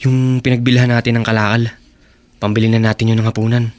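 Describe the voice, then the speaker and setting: A man playing a stubborn, lovestruck teenage son who lives rough, in a radio drama. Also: mid-range voice, level tone, fast talker, a little mellow, lightly acted. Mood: tired. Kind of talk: Tagalog radio drama acting.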